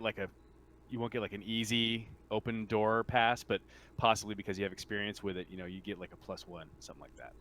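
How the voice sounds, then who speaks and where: A man acting as game master, casually explaining rules in a halting way and rephrasing as he goes. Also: sits low, a bit bright, a little hiss or hum.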